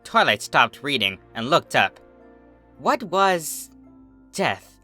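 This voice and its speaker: A man reading fan fiction as a narrator, in an expressive scripted storybook style. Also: a little high, takes it slowly, faint music behind.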